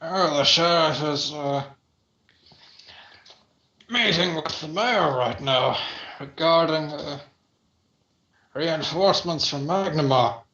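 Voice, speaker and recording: Guy running a tabletop game, slow and halting, kinda high voice, echoey lo-fi recording. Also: bright, forceful tone. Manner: halting, many filler pauses. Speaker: game master. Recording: roomy, dull lo-fi audio.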